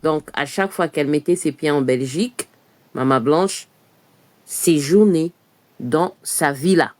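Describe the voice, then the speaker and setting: A woman's voice: deep voice, measured pace, darkish tone. A woman presenting live to camera outdoors, talking emphatically with rhetorical questions and many fillers. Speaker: online news presenter. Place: outdoors in front of a church.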